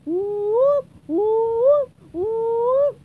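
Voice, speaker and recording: A woman's voice: sits high, big pitch swings, a little slow, narrow, tinny audio. A woman voicing a dim, impulsive male cartoon starfish with a blunt, blurting delivery in a storybook reading. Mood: loud, yelling.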